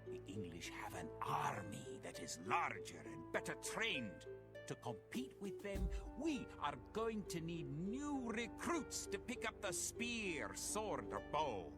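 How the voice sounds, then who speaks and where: An actor playing a grave, resolute medieval Scottish soldier, narrating a war in a dramatic, theatrical storytelling style for a game cutscene. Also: male voice, on the high side, sing-song, takes it slowly. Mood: grim, then urgent. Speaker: campaign narrator. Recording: music under the voice.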